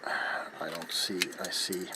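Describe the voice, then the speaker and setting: A middle-aged man narrating a craft in soft ASMR style, hushed and unhurried, often slipping into a whisper. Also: low-pitched, little pitch movement. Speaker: ASMR crafting video host. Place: small cluttered indoor workshop. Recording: loud background noise, handling clicks.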